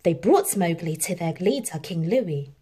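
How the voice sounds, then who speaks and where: Expressive woman reading a children's storybook. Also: fairly low pitch, measured pace, breathy edge. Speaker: storybook narrator. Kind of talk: scripted children's read-aloud narration.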